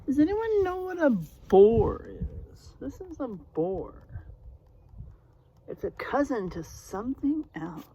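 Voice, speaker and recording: A woman reads a children's story aloud at an unhurried pace. Her voice is soft-edged and mellow, with very lively rises and falls. She draws out dramatic words and breaks off to quiz her young listeners in a teacherly way. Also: sits high, slight background noise. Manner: teacherly, prompting listeners with questions. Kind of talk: expressive picture-book read-aloud with questions to children.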